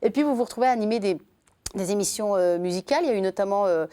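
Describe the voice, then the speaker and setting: A woman's voice: mid-range voice, racing, warm tone. A woman hosting a TV interview, recapping her guest's career in long, guiding questions. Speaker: interview host. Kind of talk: TV talk show interview.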